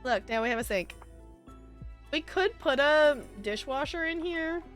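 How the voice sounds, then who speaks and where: A woman's voice: high-pitched, lively intonation, measured pace, fairly bright tone, soft background music. A woman streaming a life simulation game with casual, rambling commentary, chatting to viewers and to the game's characters.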